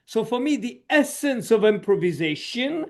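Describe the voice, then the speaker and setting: High-voiced reflective man. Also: sing-song, normal speed. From Switzerland. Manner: reflective storytelling with frequent "you know". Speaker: guest social coach. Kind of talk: conversational podcast interview.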